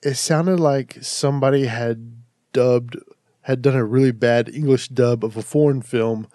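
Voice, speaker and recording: A man's voice: mid-range voice, fairly even intonation, measured pace, mellow, dry recording, studio quality. A man hosting a casual horror movie review podcast, sounding a little nasal and stuffed up from allergies, chatty and rambling with restarts. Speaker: podcast host.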